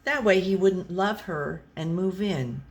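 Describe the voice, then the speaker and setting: Slow, deadpan storybook pet read by a woman. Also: fairly low pitch. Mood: dry, tolerant. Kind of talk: scripted storybook narration.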